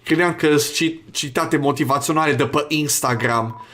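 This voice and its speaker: A man's voice: fairly high pitch, a bit bright. A man hosting a gaming video, chatting casually in a crude, profane, rambling way. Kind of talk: casual let's play commentary.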